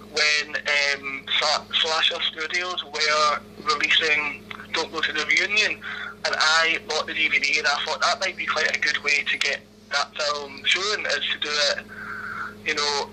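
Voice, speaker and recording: A young Glaswegian man in his twenties talks over the phone, his voice very bright and a little high for a man. He answers with lots of ums, false starts and small laughs. A faint hiss sits under the line. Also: rough recording. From Scotland. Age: 20s. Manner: hesitant, many ums and restarts, laughs often. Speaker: interviewee. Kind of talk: casual phone interview. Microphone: phone call.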